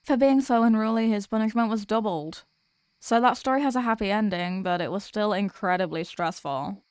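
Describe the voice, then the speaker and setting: Demoness girlfriend, played by a woman: tired office worker, tender and chatty, mid-pitched, intimate ASMR roleplay, very dry recording. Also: normal speed, clear, resonant tone, no echo, natural voice. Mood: exasperated venting. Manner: chatty, affectionate, intimate address to a partner. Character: sweet, weary demoness girlfriend venting about office work.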